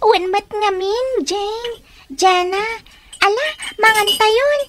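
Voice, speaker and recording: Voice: very high-pitched, slow, noisy background. An actor playing a helpful, kindly older sibling, still young, in a radio drama. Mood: cheerful.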